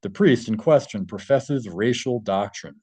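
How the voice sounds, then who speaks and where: A man's voice: lowish voice, measured pace, a little mellow, slightly rough, dry recording. A man reading a translated article aloud and adding his own informal commentary.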